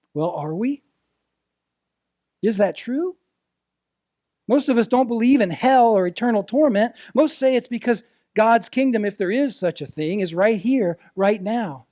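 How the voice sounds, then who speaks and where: High-pitched man preaching a sermon. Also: darkish tone, dry recording. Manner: earnest, rhetorical questions, deliberate dramatic phrasing. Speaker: preacher, ministerial intern. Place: church service, speaking to a congregation.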